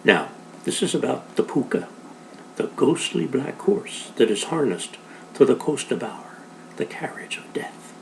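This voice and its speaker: A man voicing a father who tells a ghost story in a slow, theatrical, hushed way. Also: mid-range voice, sing-song, vocal fry, a little hiss or hum, low-quality recording. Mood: spooky. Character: father telling a spooky ghost tale.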